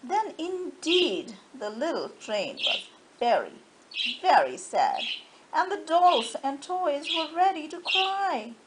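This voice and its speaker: Female storyteller for children's read-aloud: high, very animated, slow delivery, faint noise behind. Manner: expressive, dramatized.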